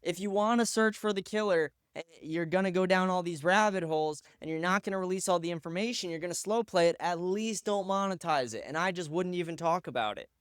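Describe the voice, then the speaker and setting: A man's voice: sits high, brisk, hardly any pauses, clean tone, no echo, clean studio sound. A man hosting his own live stream, reacting to clips and posts in a blunt, profane, ranting way. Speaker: show host.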